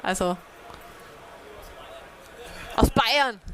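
A woman's voice: high voice, sing-song, very slow. A woman giving an informal, hesitant talk to a small group in a room, with many fillers. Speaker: workshop presenter.

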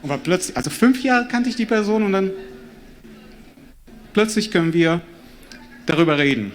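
A man performing spoken word on stage, talking directly to the audience with wide, animated swings of voice. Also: moderate pace, slight background noise. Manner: animated, addresses the crowd directly, swings pitch widely. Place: stage before a live audience.

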